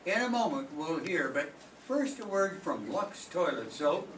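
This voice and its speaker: An older man reading the part of a showy radio announcer in an old-time mystery broadcast, pitching a soap. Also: very animated, a little slow, very noisy. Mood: announcing. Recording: echoey room, distant mic, loud music behind.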